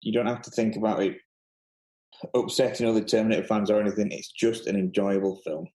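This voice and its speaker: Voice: mid-range voice, steady tone, a little fast, dark tone, reverberant, low-quality recording. A speaker in casual film chat, making points emphatically and repeating them for emphasis.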